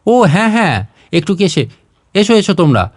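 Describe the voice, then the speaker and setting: A man playing an older gentleman, genteel, affable, anglicised, storybook character, higher pitch, dry close recording. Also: big pitch swings, normal speed, no room sound. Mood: hearty, welcoming.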